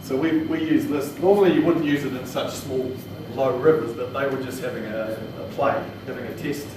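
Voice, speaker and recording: A man's voice: medium pitch, fairly even intonation, normal speed. A man with a New Zealand accent giving an informal technical presentation in a plain-spoken, hesitant way. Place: indoor training room. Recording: echoey room, distant mic, noisy.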